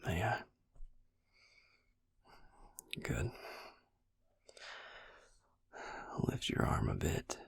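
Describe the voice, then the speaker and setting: A gruff but caring vampire healer played by a man murmurs close and dry in an intimate audio roleplay. His voice is very low and thick with gravel, often falling to a whisper. He is sardonic and teasing, with tenderness underneath. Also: huge pitch swings, moderate pace, dry recording, full character voice. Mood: gentle, focused. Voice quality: breathy, husky, gravelly near-whisper.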